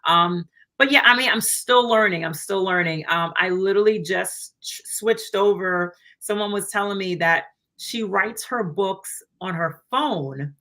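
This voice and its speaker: A woman author being interviewed over a video call, talking in a chatty, animated way and acting out little quotes of what she told herself and others. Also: mid-range voice, measured pace. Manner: chatty, animated, many fillers and acted-out quotes. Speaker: author guest. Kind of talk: casual interview chat.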